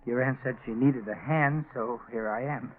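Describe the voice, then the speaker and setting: An actor playing a polite, reserved, weary farmhand in a 1940s radio drama. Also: male voice, medium pitch, normal speed, natural voice. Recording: tinny, noisy 1940s radio recording.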